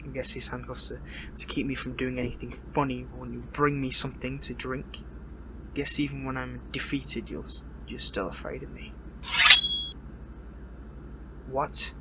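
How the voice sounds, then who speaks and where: A man performs a captured male superhero, bitter and defiant, in a dramatic audio roleplay. His mid-pitched voice is strained and halting, dropping into breathy taunts. A steady noise sits clearly behind the words. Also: close to an everyday voice.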